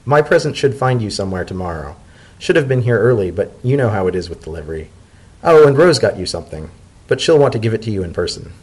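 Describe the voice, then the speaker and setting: A man voicing an anxious, affectionate male pony in a story reading, speaking in a hesitant, earnest and excitable way. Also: fairly low pitch, fast talker, breathy edge, rough recording. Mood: warm.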